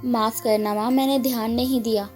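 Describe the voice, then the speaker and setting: A woman voicing an earnest, devoted girl heroine in an animated story, troubled but determined. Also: on the high side, moderate pace, faint music behind, natural voice. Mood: apologetic.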